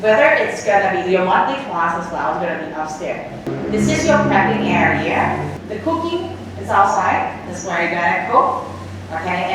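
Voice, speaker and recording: A woman with a Thai accent who is a cooking instructor. She explains steps to a class and often checks in with "okay?". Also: fairly low pitch. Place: cooking school and market, indoors and out. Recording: echoey, distant, with background noise.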